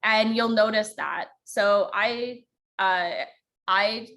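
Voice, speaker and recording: Woman podcast host, slight central Alberta accent, quick informal workshop delivery, lots of ums. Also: medium pitch, fairly even intonation, fairly bright tone. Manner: fast talker, many ums. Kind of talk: informal teaching talk.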